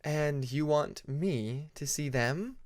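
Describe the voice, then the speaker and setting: A man voicing a gentle young female dragon in a fantasy audiobook, polite and curious, speaking softly. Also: on the high side, slow pace.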